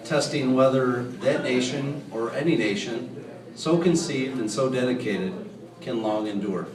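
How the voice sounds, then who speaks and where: A man of average pitch recites a historic speech as an amateur reader. His voice is distant and echoey, with bar patrons audible behind him. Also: a little slow, a crowd in the background. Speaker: amateur reader in a group reading. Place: indoors in a bar. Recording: echoey bar, distant mic, people around.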